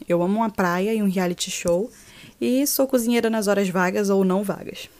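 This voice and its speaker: A woman's voice: mid-range voice, brisk, a little mellow. Chatty Carioca woman in her twenties. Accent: Rio de Janeiro Portuguese. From Rio de Janeiro, Brazil. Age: 20s. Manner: friendly, chatty self-introduction. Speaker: guest, social sciences student. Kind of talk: casual podcast interview.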